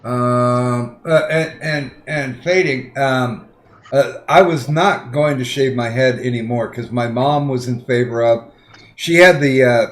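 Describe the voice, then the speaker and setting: A man in crude casual podcast banter who hesitates a lot, with frequent ums and restarts, and delivers the jokes deadpan. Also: medium pitch, measured pace.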